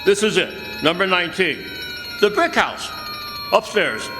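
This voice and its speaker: An actor playing a grumpy, cynical, impatient ambulance driver in a live radio drama, blunt and slangy. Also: male voice, high voice, very animated, a little slow. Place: live performance in a campus hall. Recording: noisy, echoey hall.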